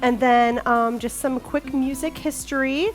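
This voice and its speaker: A woman's voice: high-pitched, steady tone, a little slow, poor audio. A young woman hosting a casual podcast segment, reading music trivia in a chatty, giggly way. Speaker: podcast host. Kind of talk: casual news and gossip segment.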